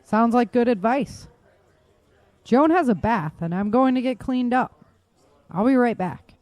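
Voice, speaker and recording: A weary survivor woman speaks earnestly with lively, varied pitch. The sound is close and dry. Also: unhurried, no echo.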